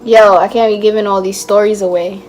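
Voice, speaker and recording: A young woman vlogger chatting to camera and telling stories, her voice hoarse and cracking as she loses it. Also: medium pitch, fairly even intonation, fairly quick, a little mellow, soft background music, low-quality recording. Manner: chatty storytelling, laughs at own jokes. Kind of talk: casual chat to camera.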